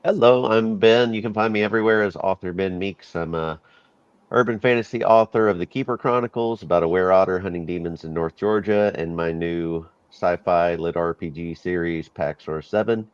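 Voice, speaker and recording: A man, an author, introducing himself in a relaxed, laid-back way. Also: deep voice, normal speed, smooth, no echo. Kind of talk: casual panel introduction. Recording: dull lo-fi sound.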